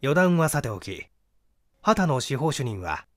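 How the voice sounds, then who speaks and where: A man narrating a mystery novel in a theatrical, deliberate storytelling manner. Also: medium pitch, very animated, fairly bright tone. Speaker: audiobook narrator and stage actor.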